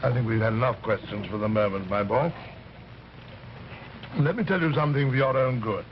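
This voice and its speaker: A man's voice: medium pitch, muffled recording, close to an everyday voice. Man acting a suave, menacing radio villain, hissy recording. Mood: condescending, threatening. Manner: unhurried, hesitant "uh"s, smoothly condescending. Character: suave, menacing fat male villain with supernatural powers. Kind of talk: old-time radio thriller acting.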